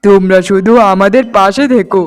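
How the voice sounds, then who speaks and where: A man's voice: high voice, steady tone, a little fast, soft background music, natural voice. A man voicing an earnest young husband in a cartoon melodrama, heartfelt, dramatic and insistent. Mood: relieved, cheerful. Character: earnest, emotional young husband devoted to his bride.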